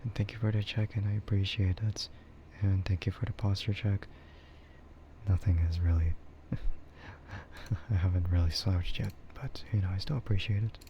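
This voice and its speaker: A man chatting casually and soft-spoken with his livestream viewers, rambling in a relaxed way. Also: low voice, normal speed, muffled recording, a little hiss or hum. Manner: relaxed, soft-spoken ASMR yapping, often chuckling. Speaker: streamer, VTuber host.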